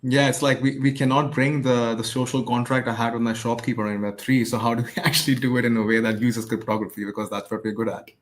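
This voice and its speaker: A man's voice: medium pitch, little pitch movement, quick pace, few pauses. A man talking casually and telling his story as a startup co-founder guest.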